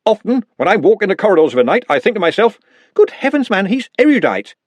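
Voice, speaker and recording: A man voicing a fussy, flustered young wizard academic in an audiobook, with a husky voice. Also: sits high, sing-song, fast talker, dry recording, pitched above the performer's own voice. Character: excitable high-strung young male wizard academic.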